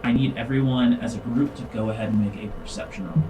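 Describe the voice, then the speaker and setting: A man narrating a tabletop game as game master, improvising descriptions in a halting, informal way. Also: mid-range voice, steady tone, recorded from a distance, noisy background. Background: music.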